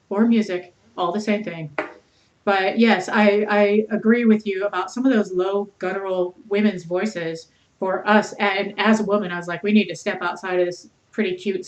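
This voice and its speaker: A woman with a mezzo-soprano voice, hosting an interview podcast, animated, laughing often and speaking bluntly with swearing. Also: mid-range voice, highs cut off. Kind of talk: casual interview chat.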